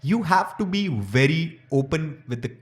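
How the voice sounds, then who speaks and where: A man's voice: a little high, expressive, normal speed, reverberant. A man co-hosting a podcast, blunt and frank in casual chat, swearing freely. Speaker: podcast co-host.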